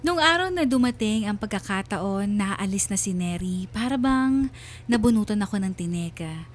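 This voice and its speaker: A woman's voice: mid-range voice, lightly acted. An actress playing a wistful wife and mother, narrating her story in a reflective, sentimental radio drama style. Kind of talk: scripted first-person radio drama narration.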